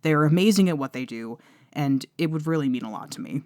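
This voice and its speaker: A woman's voice: sits low, lively intonation, quick pace, a little mellow, dry recording. Enthusiastic chatty woman, very dry recording. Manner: enthusiastic, gushing, informal. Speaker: writer and voice actor thanking audience. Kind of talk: casual, chatty outro.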